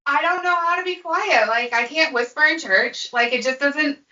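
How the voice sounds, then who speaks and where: A woman podcast host, chatty and animated, talking in a casual conversation. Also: sits high, normal speed, roomy sound, muffled recording.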